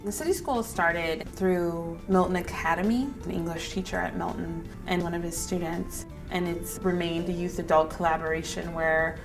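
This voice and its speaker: A woman from the program staff explaining its history, slightly halting. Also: lowish voice, steady tone, over music.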